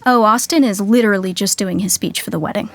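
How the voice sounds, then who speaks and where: A woman's voice: medium pitch, fast talker, noisy background. A woman giving wry scripted narration for a comedy story.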